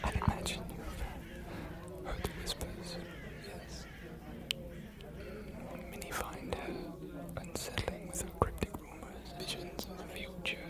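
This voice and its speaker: Man playing an aloof, whispering scholarly vampire. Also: sits high. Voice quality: breathy whisper. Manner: measured, formal, musing, hesitant pauses. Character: aloof scholarly male vampire, ancient, courteous and intrigued. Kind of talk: whispered ASMR roleplay.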